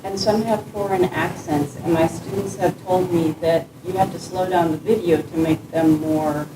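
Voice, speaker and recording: A woman's voice: fairly low pitch, steady tone, normal speed. A woman who teaches, asking questions in an inquisitive way from the audience. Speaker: audience member, instructor. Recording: roomy, distant, noisy.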